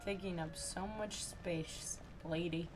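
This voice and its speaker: A woman's voice: lowish voice, steady tone, slow, poor audio. A woman giving casual commentary as she streams a video game. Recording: game music behind the voice. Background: game music.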